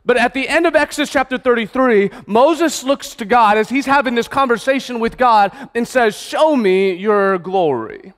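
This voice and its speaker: Pastor guy preaching on a church stage, really high voice, lots of restarts, big echoey hall. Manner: earnest, emphatic preaching with slowed stresses and restarts. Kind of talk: sermon. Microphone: stage mic, far camera.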